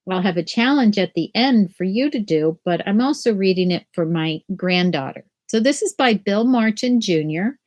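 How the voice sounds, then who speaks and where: An older woman teaching children from home over a webcam, explaining a picture book and then reading it aloud in a gentle sing-song. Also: medium pitch. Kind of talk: children's read-aloud lesson. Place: small room at home. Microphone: laptop webcam video call.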